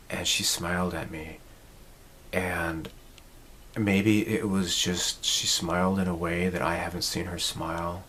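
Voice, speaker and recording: Slow, low-voiced man musing in his forties. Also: a bit bright, a little hiss or hum. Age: 40s. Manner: rambling, reflective, many fillers and restarts. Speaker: channel host. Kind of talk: casual personal vlog talk.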